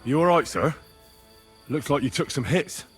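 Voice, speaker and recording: Young squire for medieval RPG, husky, animated intonation, earnest, courteous, plain-spoken and determined. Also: male voice, fairly high pitch, moderate pace, soft background music. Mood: concerned, apologetic. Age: young adult. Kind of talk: video game cutscene acting.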